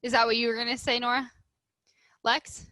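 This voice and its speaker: A woman reads to young pupils in a fairly high, bright voice with a dry, close sound. She is emphatic and instructive, shaping the story expressively as a teacher would. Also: flat delivery, normal speed, no room sound. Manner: emphatic, instructive, addressing children. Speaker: elementary school teacher. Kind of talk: expressive storybook read-aloud with questions to pupils.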